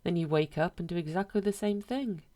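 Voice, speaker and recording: A woman performs a male ghost from a storybook. He is low-voiced and matter-of-fact, a weary guide to the afterlife. He speaks cautiously and with a deadpan flatness. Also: a little fast, close to an everyday voice.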